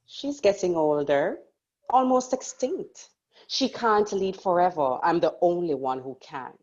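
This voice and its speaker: A woman's voice: on the low side, lively intonation, a little slow, a little mellow, dull audio. A woman playing a smug, cold, ambitious rival in a dramatic script read-through.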